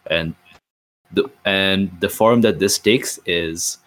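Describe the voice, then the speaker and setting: A man playing a tabletop game, setting out his ideas in casual, deliberate talk with pauses. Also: sits low, fairly bright tone.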